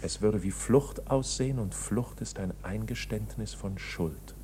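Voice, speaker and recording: An actor playing a tense, stubborn young nephew in a radio drama, controlled, terse and brooding. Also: male voice, on the low side, measured pace, mellow, slight background noise, close to an everyday voice. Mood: brooding, defiant. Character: tense, stubborn bank clerk nephew under accusation.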